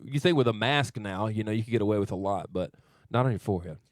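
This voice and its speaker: A man's voice: on the low side, fast talker, no echo, studio quality. A man in his thirties from Arkansas, a country singer answering interview questions in a modest, thoughtful way. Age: 30s. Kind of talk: casual studio interview. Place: radio studio. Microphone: studio mic.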